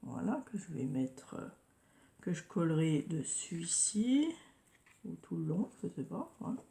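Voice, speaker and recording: Slow, gravelly woman with a cold. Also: lowish voice, very animated, dark tone. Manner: drops to murmurs and whispers while working, repeats "voilà" often. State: has a head cold. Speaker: craft vlogger. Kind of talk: casual crafting tutorial.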